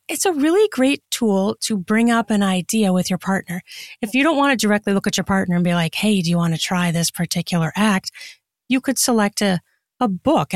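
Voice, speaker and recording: A woman's voice: medium pitch, dry recording, studio quality. A woman chatting casually as a podcast guest, explaining things in a rambling, conversational way. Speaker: podcast guest, erotic fiction author and podcaster. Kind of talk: casual interview chat.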